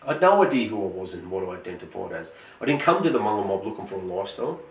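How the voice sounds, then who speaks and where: A man, giving a prepared, earnest speech in a measured, emphatic way. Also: on the low side, big pitch swings, roomy sound, distant mic, slight background noise. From Australia. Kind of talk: prepared motivational speech with scripture quotes.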